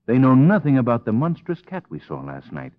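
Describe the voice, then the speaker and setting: A man's voice: medium pitch, wildly swooping pitch, a little fast. A man playing a bold, commanding, protective detective hero in the dramatic style of 1940s radio, on a muffled old recording. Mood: determined. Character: bold, stubborn male detective hero, protective of his sweetheart.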